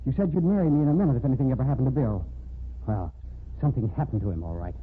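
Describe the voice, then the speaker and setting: A tinny, faintly hissing old radio recording carries a man playing a cold-blooded murderer. He is gloating and insistent, with very animated pitch. The menace is that of a classic crime melodrama. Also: very fast, close to an everyday voice. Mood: bitter, insistent. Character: obsessed, cold-blooded male murderer, scornful and reckless.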